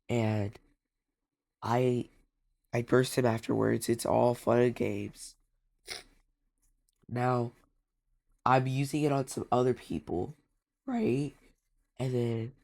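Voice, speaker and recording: A man's voice: medium pitch, gravelly, a little mellow. A young man with a congested, stuffy voice, telling a casual rambling story about a game and repeating himself often. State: congested from a cold. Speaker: gaming YouTuber.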